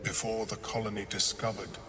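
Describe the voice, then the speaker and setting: A man voicing a scholarly, courteous mind flayer wizard in a video game, calm and detached, speaking in a measured, formal, precise way. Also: lowish voice, lightly acted. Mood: solemn, measured. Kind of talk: video game character acting. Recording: long reverb, music behind.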